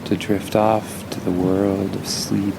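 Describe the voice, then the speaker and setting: A man's voice: low voice, level tone, slow, vocal fry, background noise. A man telling an improvised bedtime story for kids in an informal, soothing way.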